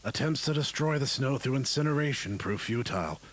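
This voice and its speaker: A man playing a detached researcher, narrating grim lab findings as a formal, measured horror report. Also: mid-range voice, lively intonation, close to an everyday voice. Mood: clinical, detached. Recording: voice-over under clear background music. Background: music.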